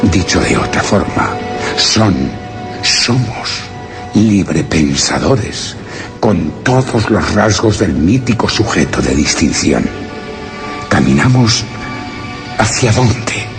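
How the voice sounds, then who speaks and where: A man's voice: deep voice, big pitch swings, full character voice. An actor playing a brooding exiled man who reads his written account in a solemn, literary Castilian Spanish radio theatre monologue. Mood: wistful, reflective. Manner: solemn, literary, measured delivery. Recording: voice under loud dramatic music.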